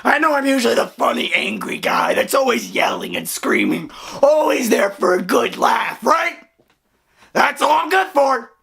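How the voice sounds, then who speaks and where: A voice actor playing a hurt, hot-tempered young man with wacky hair, crying and shouting through an outburst. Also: high voice, sing-song, normal speed, creaky, heavily acted. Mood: bitter. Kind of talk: dramatic voice acting.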